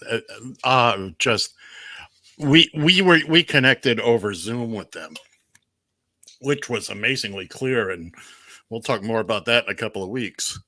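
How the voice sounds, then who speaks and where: A bright, forceful man hosting a radio show, playful and teasing in his banter. He slips into a theatrical announcer delivery for a weekly segment. His voice is dry and close, with no room sound. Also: medium pitch, lively intonation, measured pace, no room sound.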